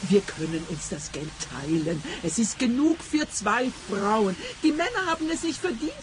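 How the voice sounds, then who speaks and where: An actress playing a quirky, self-justifying thief in a comic radio play, theatrical and earnest. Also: female voice, medium pitch, big pitch swings, normal speed, natural voice. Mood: pleading, earnest.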